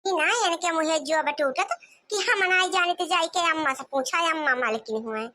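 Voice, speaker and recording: A woman's voice: very high voice, normal speed, pushed and bright. A woman voicing a boastful old village mother-in-law in a comedy cartoon, grumbling and gossiping with an Awadhi accent. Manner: gossipy, complaining, repeatedly addressing a confidante. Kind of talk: comic cartoon monologue.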